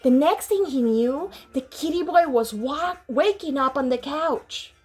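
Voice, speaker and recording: A woman's voice: high-pitched, expressive, unhurried, a little mellow. A woman reading a storybook aloud to children like a teacher, dramatically, slipping between English and Spanish and explaining as she goes. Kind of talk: animated children's read-aloud.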